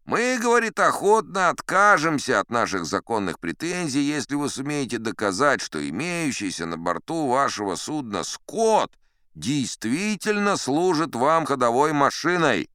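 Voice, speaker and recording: A man's voice: medium pitch, very animated, measured pace, bright, forceful tone, smooth, no room sound, studio quality, voice pushed up. A man voicing an officious, skeptical chief customs official in an audiobook, with a polite, needling bureaucratic manner. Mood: formal, challenging.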